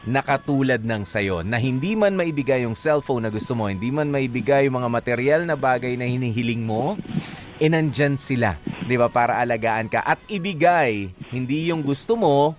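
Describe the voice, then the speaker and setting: A male radio host with a Filipino accent speaks in a conversational style. He addresses his listeners directly with advice and slips English phrases into his talk. His pitch sits in the middle for a man. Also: lively intonation, moderate pace.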